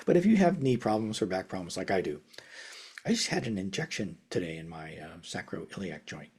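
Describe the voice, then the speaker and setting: An older man teaching casually over a video call from his home study. His talk rambles, with restarts, chuckles and phrases that trail off softly. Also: deep voice, big pitch swings, normal speed, breathy edge. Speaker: meditation teacher. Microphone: video call webcam.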